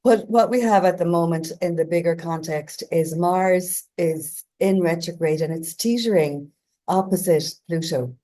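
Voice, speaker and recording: Mellow low-voiced woman explaining. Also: measured pace. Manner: steady explaining, sometimes acting out quoted voices. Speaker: guest astrologer. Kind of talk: explaining in casual chat.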